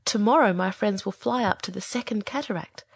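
A woman's voice: mid-range voice, brisk, vocal fry, breathy edge, no echo. A woman playing a small, good-hearted male swallow in a storybook, speaking earnestly and expressively. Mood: wistful, descriptive. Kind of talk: acted storybook dialogue.

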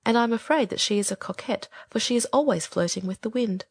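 A woman voicing a small, vain, impatient male swallow from a storybook, brisk and opinionated. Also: on the high side, slightly rough, dry recording, studio quality. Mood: smitten, then critical. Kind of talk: storybook character reading.

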